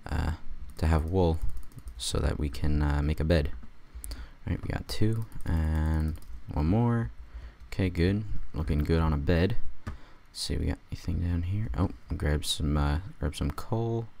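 A man just out of bed with a raspy voice, rambling through a casual let's-play commentary with wry jokes. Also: rumbling low, a little mellow. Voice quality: raspy, gravelly. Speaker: gamer doing a let's play.

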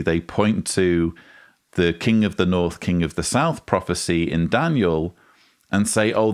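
A man in his forties giving measured, explanatory commentary as a host, recorded close on a studio microphone in a room. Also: sits low. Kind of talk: critical video commentary to camera. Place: small indoor room with bookshelves. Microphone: studio mic on boom arm, close.

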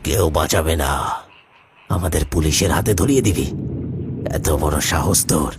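An actor playing a menacing, rough-spoken village henchman with a knife in an animated horror story. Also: extremely low pitch, moderate pace. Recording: noisy, with ambient sound behind.

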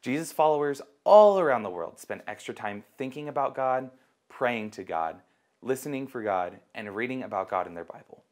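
A young man with a medium-pitched voice presents a kids' sermon. He speaks as a teacher to children, in simple and encouraging phrases. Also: lively intonation, normal speed, darkish tone. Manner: simple, encouraging, asks listeners questions. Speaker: children's ministry host and teacher. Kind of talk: kids sermon to camera.

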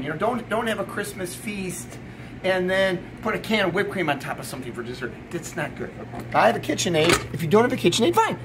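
High-pitched middle-aged man over kitchen noise. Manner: chatty, emphatic, informal, often checking in with "okay?". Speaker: chef presenting a recipe video. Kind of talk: casual cooking demonstration. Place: indoor commercial kitchen or sandwich shop. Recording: clear background noise in working kitchen.

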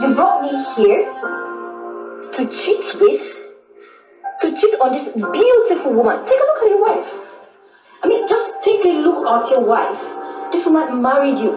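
A woman with a Nigerian accent acting a bold, sassy young side chick in a Nollywood drama. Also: high voice. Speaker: actor. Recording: dull echoey audio, music behind.

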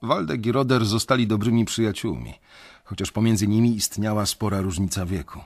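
A man's voice: lowish voice, brisk, a little mellow, slightly rough. An actor playing a resentful young investment banker who tells his story bitterly in a radio drama. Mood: bitter recollection.

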